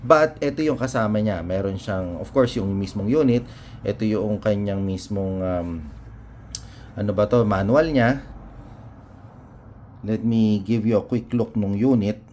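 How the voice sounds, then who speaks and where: A man's voice: on the low side, unhurried, long pauses, darkish tone. A Filipino man presenting a product in a casual, unscripted review, hesitating as he searches for words and mixing Tagalog with English. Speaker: motorcycle vlogger presenting a product. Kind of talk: casual unboxing and review chat. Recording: noticeable background noise and room ambience.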